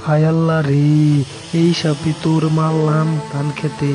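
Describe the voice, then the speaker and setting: A man's voice: fairly high pitch, completely flat delivery, moderate pace, natural voice, poor audio. Male cartoon fox husband acted by a man, colloquial eastern Bangladeshi accent, rustic, chatty, exclamatory, music behind. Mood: alarmed. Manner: folksy, exclamatory. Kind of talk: animated cartoon dialogue.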